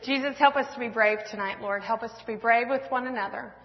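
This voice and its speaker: A woman's voice: fairly high pitch, fast talker. Woman in her 30s, church testimony speaker, rambling and self-mocking, muffled lo-fi hall sound. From Washington State, US West Coast. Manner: self-deprecating, laughing often, rambling with fillers. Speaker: speaker at a church women's event. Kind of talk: personal testimony talk with slides.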